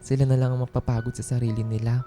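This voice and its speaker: A man's voice: medium pitch, little pitch movement, fast talker, dark tone, soft background music, lightly acted. An actor playing a timid, weary male office employee in a radio drama, hesitant and resigned.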